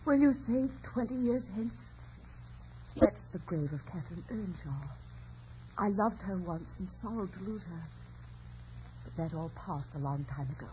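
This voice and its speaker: A woman's voice: on the low side, lively intonation, measured pace, pauses often. An actress playing a wounded, reproachful woman, dramatic and theatrical, in a radio melodrama. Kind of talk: 1950s radio drama acting. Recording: dull, noisy old radio broadcast.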